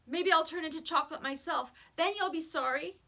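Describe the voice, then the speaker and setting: A woman's voice: very high voice, fairly quick, creaky, pushed and bright, pitched above the performer's own voice, heavily acted. A woman voicing an anxious, pleading young schoolboy in a children's storybook, with a plaintive, protesting manner. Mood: aggrieved. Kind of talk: children's storybook read-aloud.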